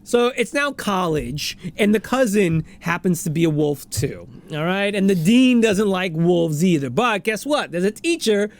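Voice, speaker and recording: A man's voice: high-pitched, animated, unhurried, few pauses. An American man talking casually and enthusiastically as a chatty podcast co-host. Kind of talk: casual chat.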